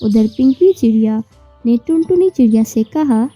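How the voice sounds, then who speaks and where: A woman's voice: a little high, expressive, moderate pace, dark tone. A woman narrating a scripted children's story, with music and birdsong behind her.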